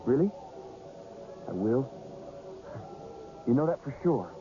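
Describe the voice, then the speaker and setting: An actor playing an excitable, bitter carnival fortune-teller in a radio drama, speaking intensely and impatiently with some stammering. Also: male voice, medium pitch, slow pace. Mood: amazed, eager. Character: excitable, bitter carnival fortune-teller named Benny, craving real visions. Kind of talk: 1980s radio drama acting. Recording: dull, noisy old radio drama recording.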